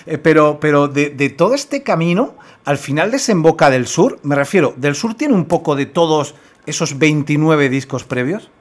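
A man's voice: fairly high pitch, animated, moderate pace. A male radio host introducing a guest and asking questions, rambling with many restarts and fillers. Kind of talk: radio music interview.

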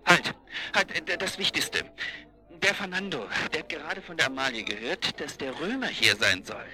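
A man's voice: on the high side, expressive, moderate pace, bright, forceful tone. An actor in a German radio drama playing an eager, insistent man passing on information in an urgent, colloquial way.

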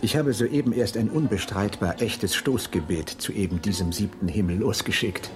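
An actor playing a suave, cynical aristocratic marquis in a radio play, speaking in an urbane, ornate, mock-solemn way. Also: male voice, lowish voice, normal speed, natural voice. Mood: urbane, amused. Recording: music under the dialogue. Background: music.

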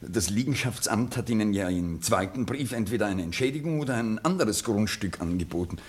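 An actor playing an apologetic, well-meaning clerk in a German radio play, hesitant, stammering and over-polite. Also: male voice, lowish voice, expressive, fairly quick, slightly rough. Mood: earnest, apologetic.

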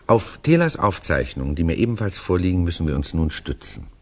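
An actor playing an urbane writer who recounts a tale to a friend in a measured, reflective way, in a 1960s German radio drama. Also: male voice, low voice, big pitch swings, darkish tone.

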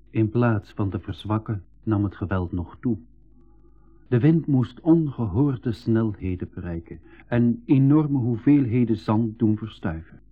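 An actor playing an earnest first-person narrator of an adventure in a 1980s school radio play, with measured, literary phrasing. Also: male voice, on the low side. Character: earnest male narrator recalling his own expedition adventure. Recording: tinny, band-limited old radio recording.

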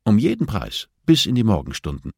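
A composed woman therapist in an audiobook, acted out by a man. The voice is lifted slightly and measured, polite yet firm and insistent. It is recorded very dry. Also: on the low side, wildly swooping pitch, vocal fry, a little mellow, husky, no room sound, full character voice. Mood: probing, calm. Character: female prison therapist, composed, firm and probing. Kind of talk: audiobook character dialogue.

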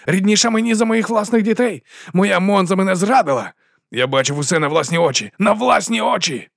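A man performs a commanding grey-bearded grand duke in a fantasy story. The delivery is emphatic and accusing, pitched up from plain narration, with a dry close sound. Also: fast, fairly bright tone, dry recording, close to an everyday voice. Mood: accusing, wounded.

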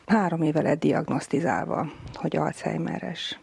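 A woman's voice: sits low, vocal fry, a little mellow, slightly rough. A middle-aged woman, giving a personal account in an interview as a family carer.

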